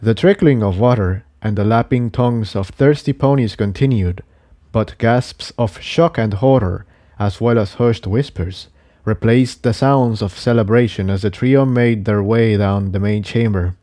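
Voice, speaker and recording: A man's voice: on the low side, measured pace, dark tone. A man narrating an adventure story from a script. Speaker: narrator reading fan fiction.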